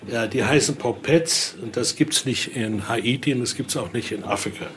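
A man's voice: mid-range voice, normal speed, fairly bright tone. A man explaining things informally as a guide, often checking in with short tags at the end of phrases, recorded in an exhibition room. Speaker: museum guide and expert. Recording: clearly noisy indoor ambience.

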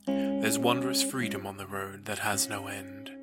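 A man reciting poetry expressively, in a measured and dramatic way. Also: on the low side, huge pitch swings. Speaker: poetry podcast reader. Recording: loud guitar music behind voice. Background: acoustic guitar music.

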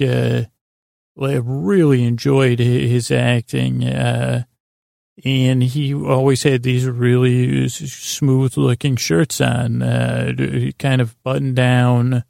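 A man hosting a sleep podcast, rambling in a halting, meandering way with lulling, soothing tones. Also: medium pitch, a little mellow, no room sound, clean studio sound. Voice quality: creaky, gravelly. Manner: meandering, hesitant, halting, trailing off into tangents. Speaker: podcast host.

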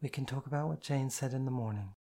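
A reader voicing a calm, caring dominant woman who speaks firmly and gently, in measured commanding sentences. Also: medium pitch, lightly acted. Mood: soothing, stern.

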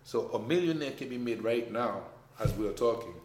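A man with a French accent talking in a casual conversation, emphatic and animated, his voice rising when he makes a point. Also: medium pitch, a little fast. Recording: echoey room, faint background noise.

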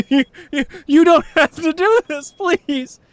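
A man's voice: very high voice, slow. A man playing a desperate telethon host who laughs nervously and begs for more calls.

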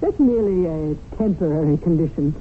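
A woman's voice: low voice, expressive, a little fast, a little hiss or hum. A woman acting in a radio drama as a coolly confident, ambitious schemer, on a muffled vintage recording. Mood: cool, assured.

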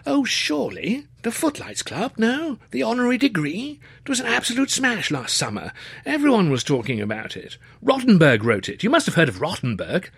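Man as a posh young English student, high-pitched, swooping, gushing, nervy, stammering, old-fashioned audiobook character. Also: wildly swooping pitch, normal speed, gravelly, slightly rough, no room sound, full character voice. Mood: chummy, eager. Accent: upper-class English. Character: excitable posh young Edwardian Cambridge student, nervy and chummy.

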